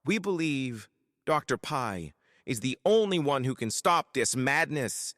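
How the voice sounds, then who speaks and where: A man's voice: mid-range voice, very animated, measured pace, fairly bright tone, clean studio sound. A man playing an earnest, worried father seeking initiation in an audio drama, in a reverberant sound.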